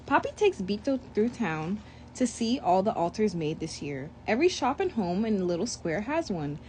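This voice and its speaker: A woman's voice: medium pitch, moderate pace, slight background noise, poor audio. A young woman reading a children's picture book aloud, breaking off for chatty asides. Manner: reads with lively asides and commentary. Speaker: storybook reader.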